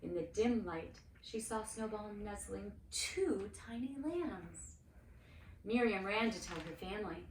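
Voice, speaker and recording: A woman reading a children's story aloud expressively, with dramatic, deliberate phrasing. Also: medium pitch. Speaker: storybook reader, cantor. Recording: roomy, distant mic with faint noise.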